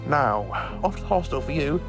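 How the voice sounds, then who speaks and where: A man's voice: a little high, expressive, fairly quick, music in the background, close to an everyday voice. A man playing an eccentric, kindly alien professor who travels through time, confident but given to hesitant asides in an audio drama. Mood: reassuring.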